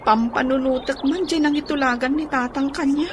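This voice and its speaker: An actress playing a high-voiced, defiant young Ilocano daughter. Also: female voice, steady tone, brisk, background noise, close to an everyday voice. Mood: troubled. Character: young woman student teacher resisting an arranged marriage. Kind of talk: Ilocano radio drama acting.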